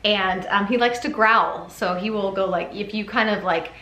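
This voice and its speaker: A woman's voice: mid-range voice, fairly even intonation, normal speed, bright, forceful tone. A woman talking casually and chattily for a parenting vlog, rambling a little in a giggly, informal way. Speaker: parenting vlogger, mother. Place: upstairs bedroom at home. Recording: echoey room.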